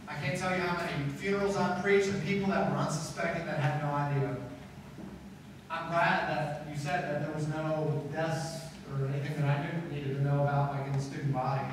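A middle-aged male pastor preaching to a school audience in a slightly high voice, chatty and repetitive, building emphasis as he goes. He is picked up from across a large echoey hall with a long reverb tail. A steady room noise hums behind him. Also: recorded from a distance. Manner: conversational preaching, repeats phrases, rising emphasis. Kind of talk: chapel sermon to students. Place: school auditorium stage with seated audience. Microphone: far camera in hall.

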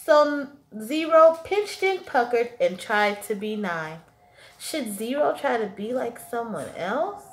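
A young woman reading a children's picture book aloud in an animated, emphatic way, as a teacher would to small children. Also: fairly high pitch, slow, reverberant. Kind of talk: expressive children's storybook read-aloud. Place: small classroom-like room, indoors.

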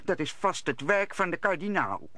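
An actor playing an agitated, decisive duke in a radio play. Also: male voice, high-pitched, big pitch swings, moderate pace, bright, forceful tone, no echo, heavily acted. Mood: alarmed.

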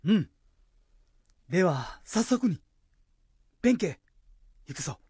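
A woman's voice: lowish voice, very animated, slow, warm tone, husky, dry recording, full character voice. A woman acting in a Japanese radio drama as an earnest, devoted young samurai lord who uses formal, archaic speech.

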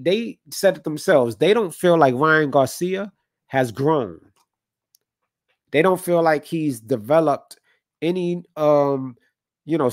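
A man's voice: mid-range voice, no room sound, clean studio sound. Stuffy-nosed man hosting a boxing stream. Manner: conversational, opinionated, reads chat comments aloud. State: stuffy from a cold. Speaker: boxing livestream host. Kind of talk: casual talk with live chat. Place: home room with colored lights.

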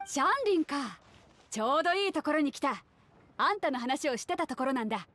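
A woman's voice: high-pitched, expressive, brisk, a bit bright. A woman voicing a bold, easygoing female sea captain in an anime game, confident and big-sisterly. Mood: welcoming, confident. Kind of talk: Japanese anime game dub.

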